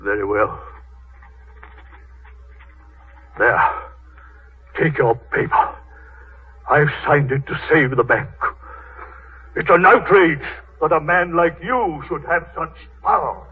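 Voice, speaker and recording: An actor playing a pompous, stubborn English bank chief in a 1930s radio drama, blustering and indignant. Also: male voice, fairly high pitch, slow pace, long pauses, a little hiss or hum. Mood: bitter, defeated. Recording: tinny, band-limited 1930s radio broadcast.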